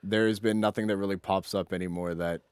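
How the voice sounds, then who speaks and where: A man's voice: sits low, steady tone, fast, clear, resonant tone, no room sound. A young adult man co-hosting a podcast, reminiscing in casual, slangy banter. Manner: informal, slangy. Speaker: podcast co-host.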